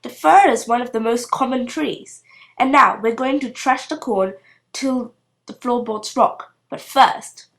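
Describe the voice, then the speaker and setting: A woman voicing a bold, cheerful, mischievous young girl in a storybook reading, with a loud, declarative manner. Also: a little high, measured pace, vocal fry. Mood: bold, breezy.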